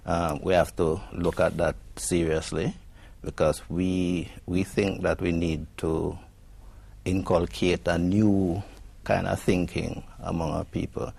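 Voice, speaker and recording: Deep husky Jamaican man, measured. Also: little pitch movement, a little mellow. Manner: measured, with many ums and pauses. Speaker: guest, executive director. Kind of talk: interview answers.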